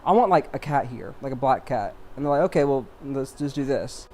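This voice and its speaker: A man's voice: mid-range voice, expressive, brisk, a little mellow. A young man co-hosting a podcast in casual, slangy banter with some swearing. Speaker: podcast co-host.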